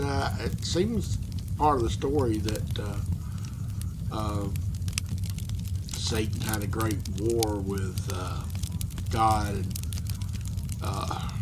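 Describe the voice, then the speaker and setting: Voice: medium pitch, background noise. A video blogger giving a casual, opinionated monologue in a rambling, blunt and irreverent way, with some swearing.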